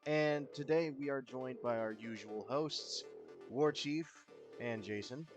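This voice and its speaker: A man hosting a podcast in a casual chat, joking and upbeat, his voice a bit hoarse. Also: medium pitch, a little slow, highs cut off, over music. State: slightly hoarse. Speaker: podcast host.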